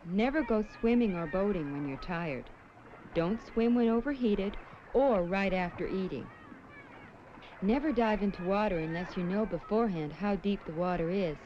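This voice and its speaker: A woman plays an earnest safety superheroine from a 1970s educational film. She instructs children in a measured, preachy yet reassuring way at a medium pitch. The old soundtrack is dull, with some noise behind her. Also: a little mellow, close to an everyday voice. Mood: instructive. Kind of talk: scripted 1970s educational film acting and narration.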